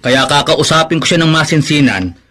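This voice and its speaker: A man's voice: a little high, animated, normal speed, pushed and bright. A Filipino man playing a protective, doubting older brother in a radio drama, firm and questioning. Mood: skeptical, stern.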